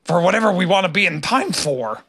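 Storybook tiger show-off, done by a reader, middle pitch, emphatic, full of bluster. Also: animated, fairly quick, gravelly, a crowd in the background. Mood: boastful. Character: boastful, bouncy young tiger, confident show-off.

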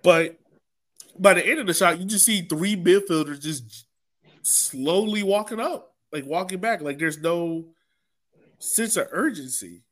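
A man's voice: high voice, expressive, measured pace, a bit bright. A man hosting a sports podcast, talking casually through soccer match analysis in a conversational way.

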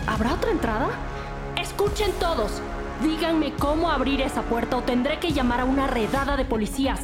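Take-charge policewoman played by an actress, higher register, brisk orders, crime audio drama under loud music. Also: lightly acted. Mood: urgent, commanding. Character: commanding, brisk female police officer in charge. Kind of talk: scripted audio drama acting. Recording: loud music bed behind voice.

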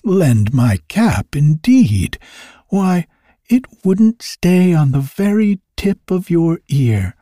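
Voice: medium pitch, sing-song, takes it slowly, dark tone, no room sound, voice pushed up. A narrator voicing a tiny, fussy, boastful male elf with a dry, peevish voice in a storybook reading. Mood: scornful, boastful. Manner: fussy, exclamatory.

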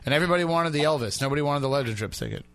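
A man's voice: a little high, rapid-fire, crowd noise. A man hosting a radio talk show, chatting casually with callers and stumbling over restarts. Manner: relaxed, friendly, stammers on restarts.

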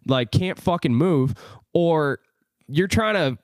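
A man in his twenties hosting a podcast, excitable and swearing freely as he tells loose stories. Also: a little high, slow, dry recording. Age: 20s. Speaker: podcast host. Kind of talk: casual chat.

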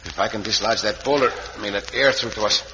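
An actor playing a gallant Mexican adventurer in a radio western, with accented formal English sprinkled with Spanish. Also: male voice, lowish voice, fast, lightly acted. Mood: strained, urgent. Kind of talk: 1950s radio western acting. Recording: muffled, hissy, reverberant old radio recording.

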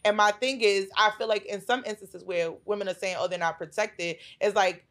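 A woman in her forties, loud and mouthy with bass in her voice, arguing her side emphatically in a heated panel debate. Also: fairly even intonation, brisk. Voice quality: loud, mouthy, deep-toned. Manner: emphatic, repeats her points.

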